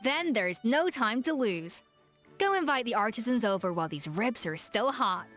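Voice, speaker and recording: A woman's voice: on the high side, expressive, moderate pace, a bit bright, soft background music. A woman playing a proud, exacting young gourmet chef in an anime game, composed, assured and precise. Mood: proud, decisive. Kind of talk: anime video game voice acting.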